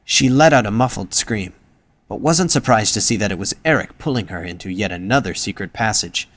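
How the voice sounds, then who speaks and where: Slightly low-voiced male story narrator. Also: expressive, a little fast, fairly bright tone.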